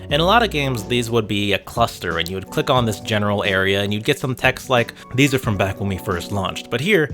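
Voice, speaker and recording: A man's voice: fairly low pitch, pushed and bright. A man narrating a scripted video essay in a dry, wry manner. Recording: music bed behind voice. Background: background music with guitar.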